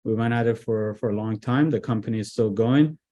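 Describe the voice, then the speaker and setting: Relaxed man from Iran, guest. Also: lowish voice, fairly quick. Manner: relaxed, many fillers and restarts. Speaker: guest, IT executive director. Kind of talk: casual interview talk.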